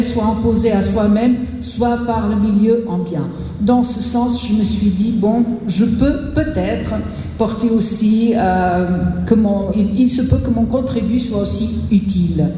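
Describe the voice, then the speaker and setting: A woman's voice: medium pitch, dark tone. Hesitant woman speaking in a reverberant hall. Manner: hesitant, restarts and fillers. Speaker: literature teacher, panelist. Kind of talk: panel opening remarks. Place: large hall at a round table event. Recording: echoey hall reverb, faint noise.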